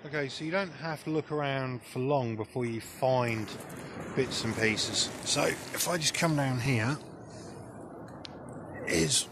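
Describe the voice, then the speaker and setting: British man musing amid outdoor noise. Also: mid-range voice. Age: middle-aged. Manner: halting, musing as he searches. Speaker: vlogger. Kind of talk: casual off-the-cuff commentary while exploring. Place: outdoors on a beach below cliffs.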